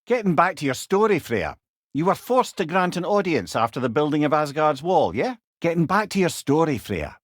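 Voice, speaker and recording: A man's voice: on the high side, very animated, normal speed, dry recording, clean studio sound. A man voicing a witty, wise old storyteller in a video game, with a Scottish accent and an eloquent, wry, conversational manner. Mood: curious, prompting. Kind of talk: dramatic video game dialogue.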